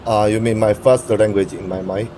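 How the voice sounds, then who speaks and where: A man's voice: on the low side, little pitch movement, warm tone, slight background noise. A young Taiwanese man whose first language is Mandarin, answering interview questions in English and stopping often to restart his words. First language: Mandarin Chinese. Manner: halting, searching for words with frequent restarts.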